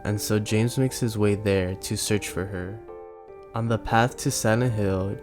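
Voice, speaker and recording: A man narrates a scripted video essay in a fairly low voice. His delivery is flat and even as he explains, with music playing clearly underneath.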